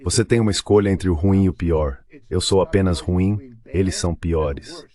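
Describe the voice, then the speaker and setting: A man's voice: low voice, steady tone, moderate pace, dark tone. A man with a Brazilian accent reading a translated interview as a voice-over.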